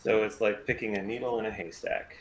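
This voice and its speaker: A man's voice: on the low side, steady tone, a little fast, a little hiss or hum, close to an everyday voice, rough recording. A man playing a wry mercenary starship pilot in a script table read, with a dry, casual, sardonic way of talking. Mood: weary, thoughtful.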